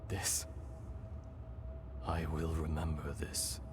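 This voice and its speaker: Ancient dragon, game role: low, unhurried, breathy and grave, world-weary. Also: male voice, completely flat delivery, heavy background noise. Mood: reflective, reverent. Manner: grave, measured, brooding. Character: ancient dragon in a man's body, solemn and weary. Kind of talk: video game voice acting. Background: music behind the voice.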